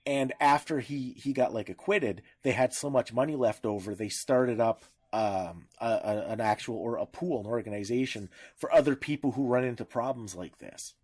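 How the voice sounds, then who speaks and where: Halting man in casual podcast discussion. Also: medium pitch, darkish tone, breathy edge. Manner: halting, searching for words, rephrasing often. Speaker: podcast co-host.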